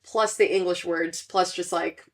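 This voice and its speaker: A young woman with a fairly low voice chats as a podcast host. She talks loosely, with many fillers and restarts. She laughs often between thoughts. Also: steady tone, measured pace. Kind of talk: casual chat.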